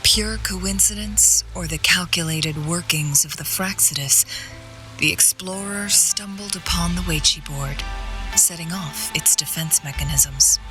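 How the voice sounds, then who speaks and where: A woman playing a composed, courteous strategist in a video game, speaking in a poised, measured, formal way with gentle teasing. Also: fairly low pitch, over music, lightly acted, low-quality recording. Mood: composed, explanatory. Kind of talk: video game story dialogue.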